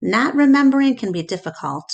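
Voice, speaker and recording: A woman's voice: on the high side, expressive, brisk, vocal fry, muffled recording, pitched above the performer's own voice. A woman voicing a thoughtful, mild-tempered adult male gorilla in a storybook, with a plain, reflective manner. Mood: reflective, admitting.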